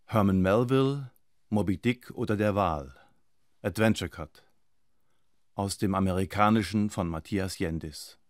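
Guy announcing radio play credits, lowish voice, scripted, really dry recording. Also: measured pace, no echo.

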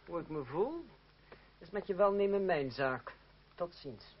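An actor playing a proud, ironic young playwright and jealous fiancé in a historical radio drama, speaking in an articulate, self-controlled way. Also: male voice, high-pitched, lively intonation, a little slow, slightly rough, heavily acted. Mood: cool, aloof. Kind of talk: theatrical radio drama acting. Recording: dull old radio play recording, crowd behind.

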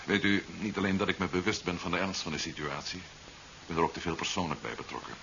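An actor playing a grave, worried fugitive hero with dry humour in a 1970s radio play. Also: male voice, sits low, fast, creaky, sharp tone, heavily acted. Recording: muffled 1970s radio recording with hiss.

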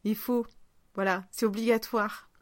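A woman's voice: medium pitch, fairly even intonation, a little slow, no echo. Female podcast host, mid-range voice, playful informal chat, closely dry recording. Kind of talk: casual conversational podcast chat.

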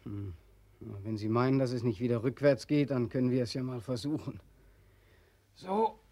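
A man acting a despairing, bitter, freezing young soldier home from war, husky and brooding, in a 1940s German radio play. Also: mid-range voice, normal speed, warm tone, no echo, lightly acted. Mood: weary, self-mocking. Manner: anguished, brooding. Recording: dry 1940s radio studio recording.

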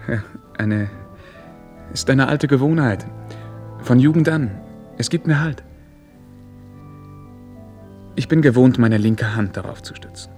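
A man's voice: mid-range voice, moderate pace, a little mellow, soft background music, lightly acted. An actor playing a reserved, lonely young prince in a German radio play, courteous, earnest and hesitant in his stiffly polite speech. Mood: awkward, polite. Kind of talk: mid-century German radio play acting.

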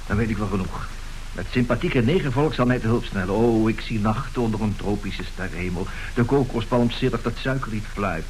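An actor playing a boastful, penniless adventurer and suitor in a radio play, with a grandiose, blustering manner. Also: male voice, on the low side, a little fast, a little mellow, slight background noise, natural voice, poor audio. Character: boastful, penniless adventurer and fortune-seeking suitor, melodramatic man.

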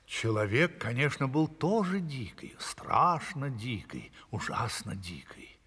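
A man telling a fairy tale to children theatrically, savouring his words with a breathy, hushed delivery. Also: medium pitch, big pitch swings, slow pace, in a large hall, exaggerated voice. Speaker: storyteller.

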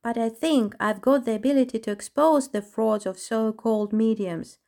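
Woman voicing a squeaky-high chipmunk guy, excited, boastful, storybook. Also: normal speed, vocal fry. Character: eager cheerful male chipmunk.

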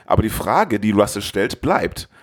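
A man's voice: fairly low pitch, sing-song, moderate pace. A man hosting a podcast, reading a scripted film summary as a narrator. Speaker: podcast host.

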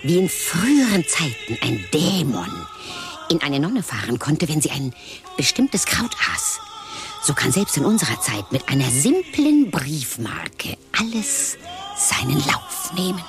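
A dry, mocking seer with a low voice that swings up and down as she speaks. She talks slowly over music in a radio drama, deliberate and knowing. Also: huge pitch swings, full character voice. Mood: measured, wry. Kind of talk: radio play acting. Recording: radio play with music behind.

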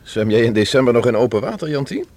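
Husky, touchy fiancé. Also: male voice, mid-range voice, sing-song, quick pace, a little mellow. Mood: polite. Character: proud, touchy engineer fiancé, gruff and grudging. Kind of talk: radio drama acting.

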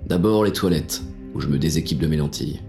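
A man playing a deep-voiced, jaded police inspector. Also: fast, vocal fry, dark tone, faint music behind. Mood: sardonic. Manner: colloquial, sardonic. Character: jaded, cynical male police inspector, gruff and irreverent. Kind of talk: first-person noir audio fiction narration.

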